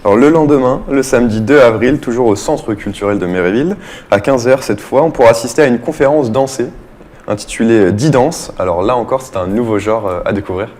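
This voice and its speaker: A man's voice: mid-range voice, brisk, a little mellow. A man hosting a radio interview, introducing events and asking questions.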